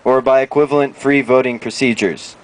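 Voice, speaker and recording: A man with a medium-pitched voice reads a formal document aloud. He speaks as a reader at a public outdoor event. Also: steady tone, a little fast, slight background noise, rough recording. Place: outdoor town plaza.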